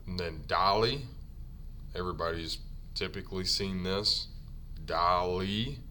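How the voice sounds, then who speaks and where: Slow, deep-voiced man teaching trade words. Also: sharp tone, slight background noise. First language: English. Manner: repeats each term slowly for learners. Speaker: ironworker union instructor. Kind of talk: slide-based vocabulary lesson.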